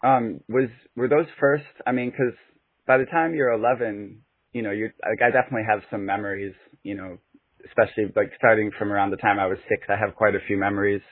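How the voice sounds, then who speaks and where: Chill podcast host guy, lowish husky voice, dry and kinda phone-sounding. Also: fairly even intonation, fairly quick, no echo, narrow, tinny audio. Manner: laid-back, friendly, fillers and "you know". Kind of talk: casual interview hosting.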